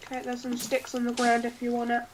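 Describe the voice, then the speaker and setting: A woman's voice: on the high side, fast talker, noisy background. A woman with a cold, chatting playfully while co-hosting a video game playthrough at home. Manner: playful, excitable. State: has a cold. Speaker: gaming co-host. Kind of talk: casual let's-play chat.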